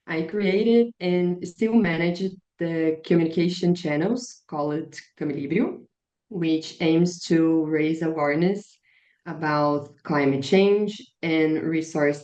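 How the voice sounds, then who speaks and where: A woman in her twenties from Brazil with a Brazilian Portuguese accent, speaking English as a second language, answering interview questions in a measured, earnest way with a slightly strained voice. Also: low-pitched, a little mellow. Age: 20s. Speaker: guest, biologist and climate activist. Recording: echoey room, dull lo-fi sound.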